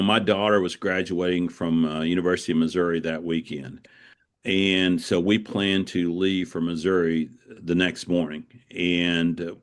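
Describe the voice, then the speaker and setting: A middle-aged man speaking casually as an interview guest, explaining things in a halting way and repeating words. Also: low-pitched. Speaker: guest, author.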